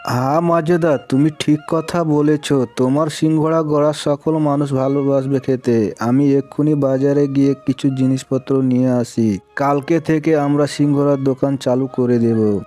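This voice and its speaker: A man's voice: mid-range voice, steady tone, normal speed, dark tone, soft background music, natural voice. A man playing a humble, generous village husband and snack seller, earnest and deferential, in a cartoon story.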